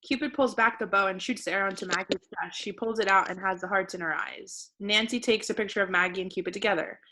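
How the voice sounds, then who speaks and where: A woman's voice: mid-range voice, steady tone, fast, noisy background. A woman reading screen directions as scripted narration at a table read.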